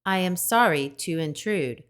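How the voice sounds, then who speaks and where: A woman voicing a gentle, polite female robot mother who speaks in a measured, precise way. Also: lowish voice, animated. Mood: calm.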